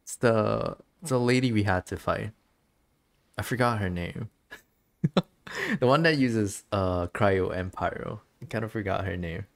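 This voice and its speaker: A streamer giving casual, chatty commentary while playing a game, musing out loud in an informal way. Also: mid-range voice, measured pace, creaky. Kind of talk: casual game livestream commentary, reading dialogue aloud.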